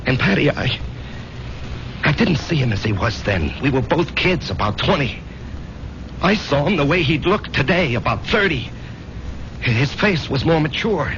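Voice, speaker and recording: An actor playing an American war veteran in his thirties, reflective and halting, in a 1970s radio drama. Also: fairly high pitch, big pitch swings, a little hiss or hum. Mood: shaken, insistent. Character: haunted thirtyish war veteran turned weary salesman. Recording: muffled old radio broadcast.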